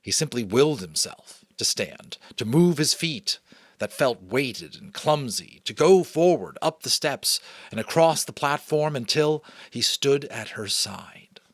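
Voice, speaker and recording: A man's voice: mid-range voice, animated, a little slow, no room sound. An American man reading an audiobook as dramatic narration, with theatrical, suspenseful phrasing. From United States. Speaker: audiobook reader.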